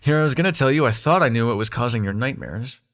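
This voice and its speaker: A man acts out a young male dream god in an intimate audio roleplay. His pitch moves in wide, animated swings as he talks straight to the listener, sarcastic and sweary, with a protective, tender streak and a halting conversational delivery. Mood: bitter, sarcastic explaining.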